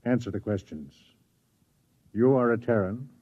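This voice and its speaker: An actor playing a cold, imperious board official who questions in a formal, menacing manner in a 1950s radio drama. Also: male voice, mid-range voice, unhurried, breathy edge, dry recording. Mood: stern. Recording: dull vintage radio recording.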